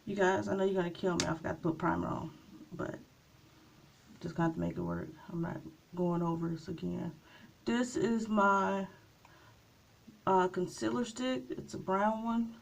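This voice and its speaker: A woman chatting casually through a makeup tutorial, her voice slightly raspy from a coming cold, unhurried and trailing off with small pauses. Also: fairly low pitch, steady tone, slight background noise, rough recording. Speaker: beauty vlogger.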